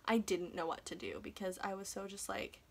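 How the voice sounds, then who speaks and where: A woman's voice: medium pitch, steady tone, fairly quick, gravelly, a little hiss or hum. A young woman talking casually in a chatty, self-deprecating way, like a vlogger sharing advice. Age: young adult.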